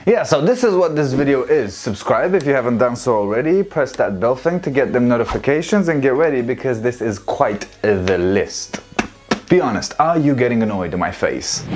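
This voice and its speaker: Animated young man with a Romanian accent. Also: mid-range voice, a little hiss or hum. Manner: animated, playful, sarcastic asides. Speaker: vlogger. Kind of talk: comedic bilingual vlog. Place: dim room at home. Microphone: lapel mic.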